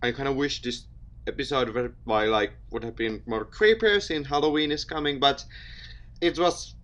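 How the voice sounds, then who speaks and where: A man doing casual let's play commentary and reading visual novel text aloud, with an expressive delivery. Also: mid-range voice, normal speed. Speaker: gaming video host.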